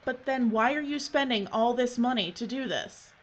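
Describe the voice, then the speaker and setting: A woman acting a shrewd, bossy documentary crew leader in an audio drama, brisk, commanding and dryly polite. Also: fairly high pitch, lightly acted. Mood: polite, probing.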